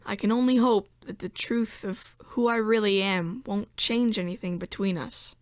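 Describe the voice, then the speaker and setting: A woman's voice: medium pitch, steady tone, moderate pace, lightly acted. A woman playing a sensitive, resolute outsider who narrates a space drama in the first person. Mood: earnest, tense.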